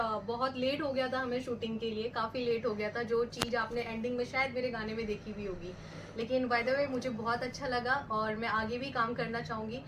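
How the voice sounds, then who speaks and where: A woman performer answering press questions, mixing English words and phrases into her speech. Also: fairly high pitch. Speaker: actress and music video performer. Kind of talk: press event interview answers. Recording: noisy event room, distant mic.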